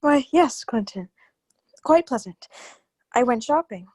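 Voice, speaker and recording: A high-pitched, anxious wife, played by a woman, in an old-time radio drama, recorded close and dry. She is hesitant and placating, careful as someone with a secret to hide. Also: lively intonation, a little slow, a little mellow, dry recording. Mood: placating, uneasy.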